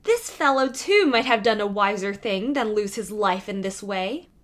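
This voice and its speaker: A woman's voice: mid-range voice, animated, moderate pace, fairly bright tone, natural voice. A woman voicing a scornful, bossy eldest princess in a fairy tale. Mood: mocking. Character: haughty, dismissive eldest princess, young woman.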